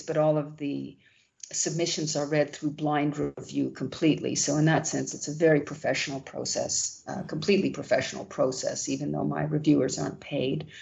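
A woman who founded a literary journal answering interview questions, talking gently with many restarts. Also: low-pitched, gravelly, lo-fi sound.

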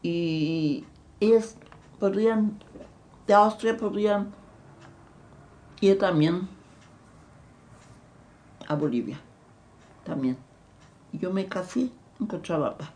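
Slow, halting elderly woman with German accent. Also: on the low side, a little mellow. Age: older. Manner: halting, repeats words, restarts often. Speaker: interviewee. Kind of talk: recounting memories in an interview.

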